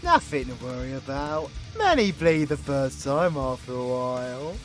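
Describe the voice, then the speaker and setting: A man's voice: mid-range voice, very animated, moderate pace, close to an everyday voice. A British man voicing a cocky, foul-mouthed young warlock villain in an audio roleplay, mocking everyone in sweary slang. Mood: scornful mockery. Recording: music behind the voice.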